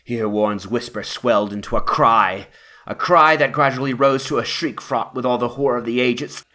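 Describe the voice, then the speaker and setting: A man's voice: mid-range voice, normal speed, pushed and bright, natural voice. A man playing a shaken, horror-stricken witness, narrating gothic testimony in a grave, theatrical way. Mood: haunted anguish. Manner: theatrical, grave, swelling into anguished cries. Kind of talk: dramatic horror reading. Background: music.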